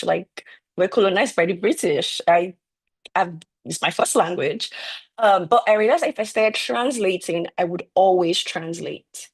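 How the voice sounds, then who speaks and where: A woman writer speaking on a panel, reflective and measured, with frequent fillers. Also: medium pitch, husky. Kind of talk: panel discussion answer.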